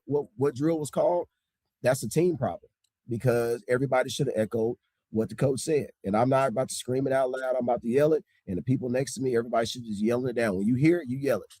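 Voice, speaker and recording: A man, a high school basketball coach, talking casually in an interview, emphatic and repeating points for stress. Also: mellow, breathy edge, no room sound, rough recording. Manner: strict, old-school tone.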